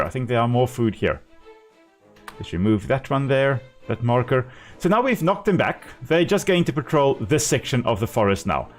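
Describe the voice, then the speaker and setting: South african guy streaming a game, lively up and down voice, chatty, faint music behind. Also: medium pitch. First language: Afrikaans. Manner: chatty, laughs easily, explains as he plays. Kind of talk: casual live-stream commentary.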